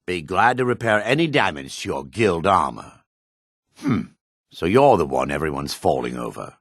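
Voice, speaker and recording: Low, raspy, haughty dark elf. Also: male voice, big pitch swings, normal speed, dry recording, studio quality, exaggerated voice. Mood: dry, dismissive. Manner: curt, disdainful, suspicious. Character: gruff, haughty adult male dark elf rogue. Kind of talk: video game character dialogue.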